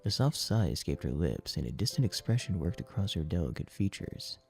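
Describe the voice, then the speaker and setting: A man narrating dramatic fiction in a solemn, deliberate storytelling manner. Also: low-pitched, sing-song, vocal fry, darkish tone. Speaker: audiobook narrator. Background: music.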